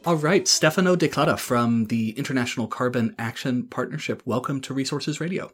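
A man's voice: mid-range voice, brisk, slightly rough, soft background music. A man hosting a podcast, asking questions in a friendly, conversational way. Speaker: podcast host. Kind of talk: podcast interview.

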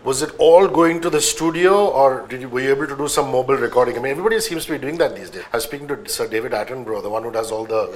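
A man's voice: mid-range voice, racing, hardly any pauses. A man with an Indian accent interviewing in a casual, chatty way, talkative and eager.

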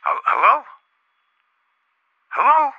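An actor playing a concerned middle-aged father, halting and anxious, through a telephone filter in 1950s radio drama. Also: extremely high pitch, sing-song, phone-quality sound, exaggerated voice. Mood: puzzled concern. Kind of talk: 1950s radio suspense acting.

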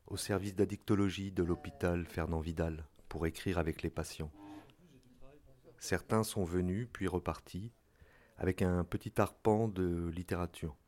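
A man with a low voice delivers scripted narration in the role of a writer. His pitch sits well below most male voices. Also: steady tone, normal speed, a little mellow. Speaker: writer and workshop leader narrating.

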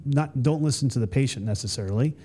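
A man's voice: mid-range voice, quick pace, warm tone. A man in his fifties, a guest on a podcast, telling stories casually and rambling with frequent restarts and tangents. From Texas, US. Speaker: podcast guest, Army veteran. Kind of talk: casual conversational storytelling.

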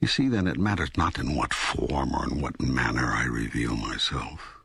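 An older man playing a patient, all-knowing God in an audiobook dialogue, speaking in a deliberate, emphatic, teaching manner. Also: rumbling low, creaky, fairly bright tone, husky, dry recording, studio quality, exaggerated voice. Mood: patient, explaining.

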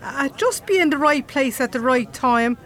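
A woman's voice: high-pitched, animated, normal speed, a bit bright. A woman playing a flirtatious, chatty barmaid with an Irish accent in a radio drama, playful and teasing. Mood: cheeky, friendly.

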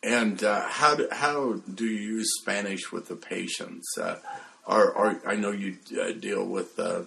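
A man interviewing a guest conversationally, phrasing his questions in a halting, deliberate way. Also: on the low side, gravelly, a bit bright.

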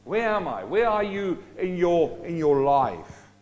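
Dynamic preacher from South Africa. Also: male voice, a little high, very animated, a little slow, darkish tone, dull audio. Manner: dynamic, rising and dropping sharply for emphasis. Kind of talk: sermon.

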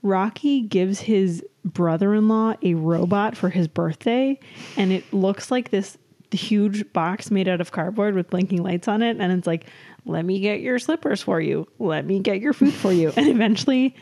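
A woman podcast co-host chatting casually at a medium pitch. She is playful and giggly, and she tends to backtrack and clarify what she has just said. The style is loose, friendly banter. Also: moderate pace, mellow. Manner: giggly, playful, self-correcting. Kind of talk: casual banter between co-hosts.

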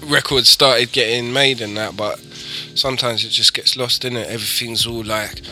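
Dude nearly forty, really bright sharp voice, slangy, swears, bit of music under it. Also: male voice, mid-range voice, fairly even intonation, normal speed. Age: 30s. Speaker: guest artist. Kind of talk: casual banter.

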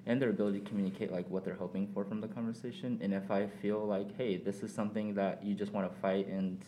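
A young man, born in South Korea with Korean as his first language, speaking as a podcast guest in thoughtful, measured casual talk with pauses and fillers. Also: lowish voice, little pitch movement, dark tone, a little hiss or hum, low-quality recording. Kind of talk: casual reflective conversation.

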